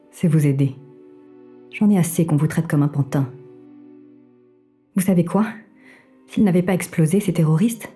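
A woman acts as a devoted female artificial intelligence in an audio drama. Her voice is dark, soft and on the low side, with polite, intimate delivery over faint music. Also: natural voice. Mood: resolute. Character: female AI assistant in a robot body, devoted, confessional. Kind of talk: French audio drama acting, intimate dialogue.

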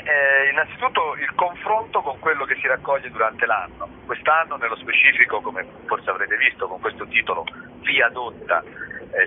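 A man speaking as an interview guest, explaining at length in a discursive way and pausing to search for words. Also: medium pitch. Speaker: theatre director, interview guest. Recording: narrow tinny phone line, clear background noise.

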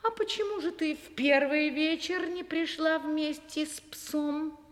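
A woman's voice: high voice, measured pace. A woman playing a wary, firm, mocking cave housewife in an audio fairy-tale play. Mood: dismissive. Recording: long reverb.

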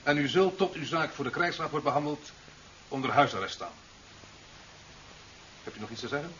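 An actor playing a stern, authoritative official in a 1970s radio drama, speaking in formal, measured, reproving phrases. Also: male voice, a little high, expressive, a bit bright. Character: stern, authoritative male official presiding over a hearing. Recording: dull, hissy old radio recording.

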